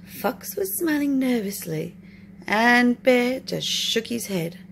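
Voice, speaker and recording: A woman's voice: fairly high pitch, animated, takes it slowly, vocal fry. A woman narrating a children's storybook expressively as a storytime reader. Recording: noisy home recording.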